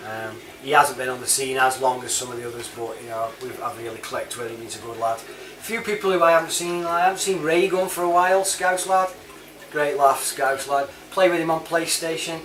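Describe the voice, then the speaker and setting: A man's voice: mid-range voice, fairly quick, a bit bright. A British man giving a casual, rambling monologue in a chatty, matey manner full of informal slang. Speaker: online reviewer and CB chat app user. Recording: roomy, distant mic, clear background noise.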